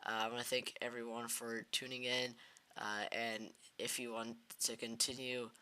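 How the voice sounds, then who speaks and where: A man hosting live severe weather coverage, talking in a halting way with many fillers and restarts. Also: mid-range voice, level tone, pushed and bright, smooth. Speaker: livestream weather host.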